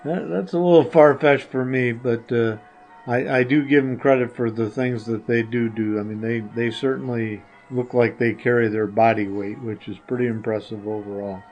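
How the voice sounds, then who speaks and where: An older man answering questions casually as a guest, speaking in a hesitant, halting, earnest way. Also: mid-range voice, fairly even intonation, darkish tone, lo-fi sound, soft background music. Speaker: podcast guest, the hosts' father.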